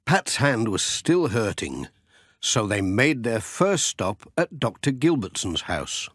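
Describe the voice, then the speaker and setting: A man's voice: mid-range voice, very animated, slow, fairly bright tone, breathy edge, dry recording, clean studio sound. A man narrating a children's audiobook story.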